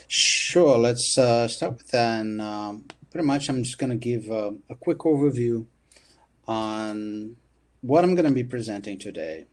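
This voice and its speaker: A middle-aged man presenting as a guest speaker on a video call, relaxed and a little hesitant. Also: mid-range voice, unhurried.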